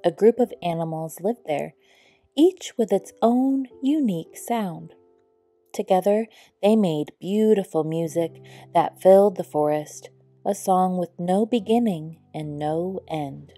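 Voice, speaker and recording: A woman reads a children's story aloud at an unhurried pace. Her voice is on the low side and soft-edged, with gentle, deliberate phrasing. Music plays behind her. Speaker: storybook narrator. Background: background music.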